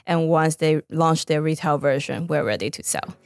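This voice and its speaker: Woman, Taiwanese accent, low voice, startup founder as podcast guest, very dry recording. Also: normal speed, gravelly, darkish tone, dry recording. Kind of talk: conversational interview answers.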